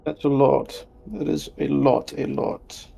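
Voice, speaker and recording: A man's voice: medium pitch, flat delivery, takes it slowly, vocal fry, crowd noise. A man improvising in character as a tabletop game player, reasoning things out tentatively.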